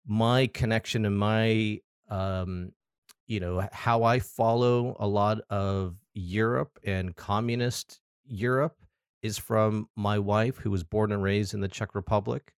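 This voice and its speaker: A man's voice: fairly low pitch, steady tone, takes it slowly, smooth, dry recording, clean studio sound. A man hosting an interview podcast, introducing his guest and asking questions conversationally. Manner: conversational, reads quotes aloud. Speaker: podcast host.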